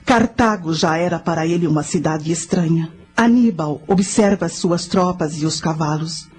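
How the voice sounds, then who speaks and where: An actress playing a knowing spirit guide, telling ancient history and teaching in a measured, solemn way in a radio drama. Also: female voice, on the low side, a little mellow, faint music behind, natural voice. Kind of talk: scripted radio drama narration.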